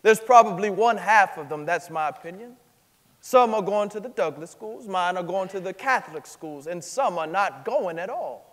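A man's voice: high voice, lively intonation, moderate pace, fairly bright tone. A man playing a respectful but firm witness in a staged period courtroom drama reading. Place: large theater stage, indoors. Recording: hall reverb from a theater stage.

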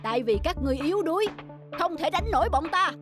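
Cartoon mountain demon, huge older-brother bully, greedy and domineering, over a music bed. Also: male voice, extremely high pitch, animated, fast talker. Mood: menacing, boastful. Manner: domineering, scolding. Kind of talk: cartoon voice acting. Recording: background music under the dialogue.

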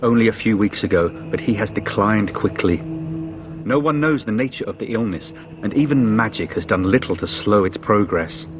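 An actor playing an earnest knight in a fantasy video game, speaking in a formal, grave manner. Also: male voice, on the low side, animated, moderate pace, noisy background, close to an everyday voice. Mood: grave, worried.

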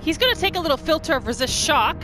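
A woman giving casual, excitable role-play commentary as a gaming video host, often repeating her phrases. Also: high voice, fast. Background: game music and sound effects.

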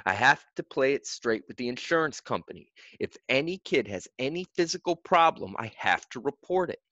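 A man voicing a middle-aged male soccer coach who lays down the rules in a firm, measured way. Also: medium pitch, a bit bright, dry recording, lo-fi sound, close to an everyday voice. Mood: apologetic. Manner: firm, measured, rule-bound. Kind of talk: audiobook character voice.

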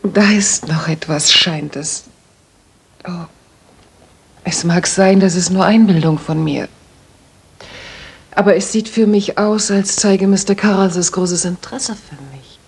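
Young Victorian gentlewoman, radio play, very breathy, slightly low, proper, measured. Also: exaggerated voice. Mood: reserved, confiding. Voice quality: husky, breathy. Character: proper, modest young Victorian lady client, firm when pestered. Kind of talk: dramatic German radio play acting.

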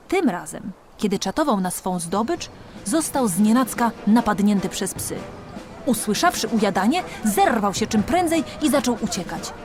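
A woman reading a children's storybook as an audiobook narrator, expressively and with dramatic emphasis. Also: mid-range voice.

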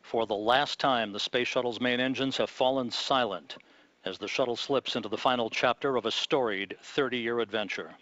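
A man giving live launch commentary from mission control, in a measured, calm announcer delivery. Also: mid-range voice, dry recording, highs cut off.

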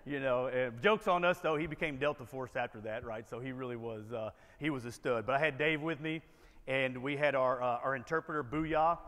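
A man's voice: mid-range voice, a little fast, a bit bright. An American man giving a motivational keynote as a storyteller, conversational and often checking in with a tagged-on "right?". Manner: conversational, punctuated with frequent "right?" tags. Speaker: keynote speaker, military veteran. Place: conference ballroom, on stage before seated audience. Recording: echoey hall with long reverb.

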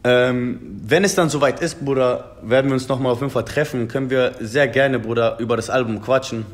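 A man's voice: medium pitch, steady tone, fairly quick. A young man in his twenties from Germany, a rapper talking earnestly over a phone video call, calling the interviewer brother again and again. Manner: earnest, repeats "Bruder" often. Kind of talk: casual video-call chat. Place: indoor room at a table.

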